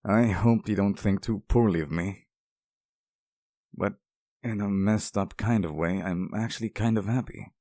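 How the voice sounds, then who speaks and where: A man voicing a lonely, sweet and possessively devoted sealed demon in an intimate ASMR roleplay, speaking softly, with tender, hesitant pleading. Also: lowish voice, unhurried, no room sound, clean studio sound. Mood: apologetic, hesitant. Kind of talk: ASMR roleplay, intimate scripted monologue to the listener.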